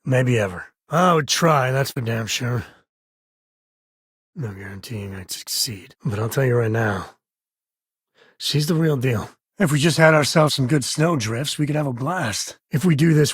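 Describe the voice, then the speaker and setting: Man acting as a husky, wry space explorer. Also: mid-range voice, normal speed, no room sound, studio quality, natural voice. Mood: casual, matter-of-fact, then playful, upbeat. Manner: casual, wry, folksy delivery. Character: easygoing spacefaring explorer, devoted father, wry and earnest. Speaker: video game voice actor. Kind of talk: scripted game character dialogue.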